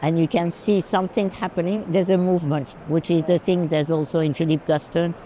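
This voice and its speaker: A woman's voice: fairly low pitch, steady tone, a little fast, dark tone, rough recording. A woman discussing art casually, stating firm opinions. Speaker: curator and collection advisor. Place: busy art fair. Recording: clear urban ambient noise.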